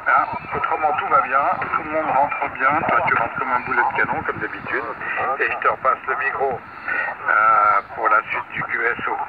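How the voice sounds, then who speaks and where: A man's voice: medium pitch, fairly even intonation, normal speed. A man, an amateur radio operator, chatting casually over a shortwave radio transmission. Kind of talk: casual ham radio exchange. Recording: tinny, distant, very noisy shortwave radio.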